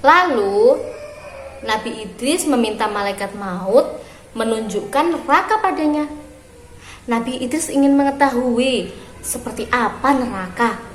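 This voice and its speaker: Woman doing kids read-aloud, highish voice, expressive w/ pauses, echoey room n some noise. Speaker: storyteller. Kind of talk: children's read-aloud storytelling. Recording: roomy echo with clear background noise.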